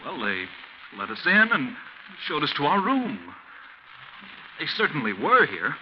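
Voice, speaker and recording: An actor playing an earnest, bewildered traveler, halting and stammering in 1940s radio style. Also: male voice, a little high, very animated. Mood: earnest, hesitant. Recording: noisy, muffled 1940s radio recording.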